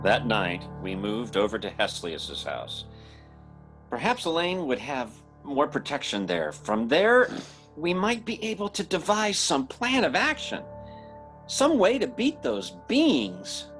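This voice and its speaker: An anxious young man in an old-time radio horror drama, telling his tale in a halting, stammering way. His pitch swings widely and the pace is unhurried. Faint music plays behind him. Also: huge pitch swings, fairly bright tone, full character voice. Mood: grave narration.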